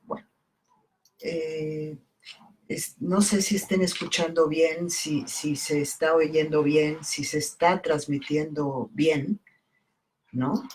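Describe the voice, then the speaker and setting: A middle-aged woman, Italian by birth, talking unhurriedly about her book, with many pauses and fillers in a live stream. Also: low-pitched, steady tone, a little mellow, rough recording. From Italy, raised in southern Mexico. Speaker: poet and editor presenting her book.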